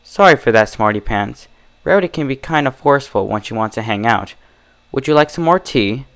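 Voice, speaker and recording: An unhinged female pony from a dark storybook, played by a man. The delivery is childishly sweet and coaxing, with an obsessive, possessive edge and swinging pitch. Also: expressive, a little fast, vocal fry. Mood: sweetly unhinged.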